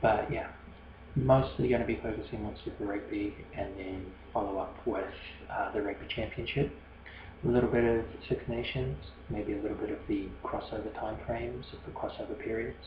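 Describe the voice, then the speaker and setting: A man's voice: lowish voice, fairly even intonation, fairly quick, echoey room, muffled recording, noisy background. A New Zealand man hosting a solo podcast, rambling and hesitant, with many fillers and restarts. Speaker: podcast host.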